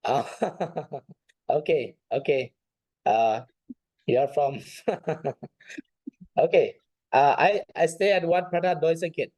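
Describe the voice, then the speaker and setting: Guy, monk teaching class online, mellow voice, kinda hesitant and repeats himself, really dry sound. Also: a little high, takes it slowly, pauses often, no room sound. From Nepal.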